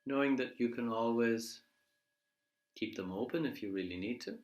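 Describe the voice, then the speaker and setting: A man leading a guided body scan meditation in a measured, gently instructive way, leaving pauses between phrases. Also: medium pitch. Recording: echoey room.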